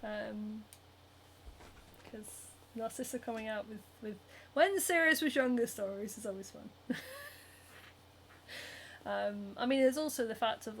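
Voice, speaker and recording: Young northern english woman rambling on a stream while sewing, fairly high voice, laughs a lot, heaps of ums. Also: a little slow, long pauses, a bit bright, a little hiss or hum. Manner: chatty and giggly, many ums and likes. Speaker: cosplayer and livestream host. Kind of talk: casual livestream chat, reading viewer comments.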